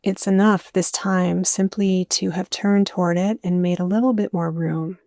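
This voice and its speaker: A woman with a dark, relaxed voice that stays very even in pitch. She narrates a guided meditation in gentle, soothing invitations, recorded close and dry. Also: dry recording. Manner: soothing, unhurried phrasing with soft invitations.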